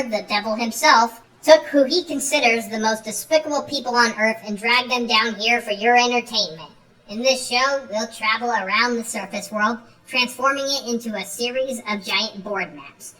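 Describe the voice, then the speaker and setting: A woman's voice: a little high, sharp tone, far from the mic, low-quality recording. A woman voicing a showy male Grim Reaper game show host in a story, wry and announcer-like.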